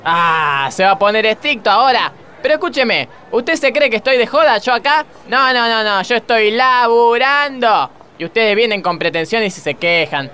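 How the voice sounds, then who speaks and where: A man's voice: sits high, lively intonation, moderate pace, bright, forceful tone. An Argentine man playing a grumpy, flippant doctor who blusters and rambles in a radio comedy sketch. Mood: complaining, indignant. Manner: blustering, rambling, laughs at own jokes.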